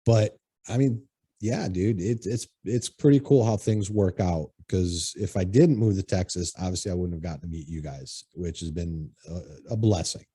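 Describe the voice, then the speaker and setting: A man's voice: low voice, normal speed, dark tone, slightly rough, no echo, studio quality. A man from Connecticut hosting a podcast in casual, rambling chat, with plenty of restarts and swearing. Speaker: podcast host.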